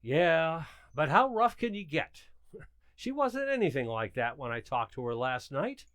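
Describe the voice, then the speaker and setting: Man playing an exasperated, blustering sitcom husband, swooping pitch. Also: sing-song, measured pace, fairly bright tone, dry recording, studio quality. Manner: indignant, emphatic. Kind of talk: amateur radio sitcom acting.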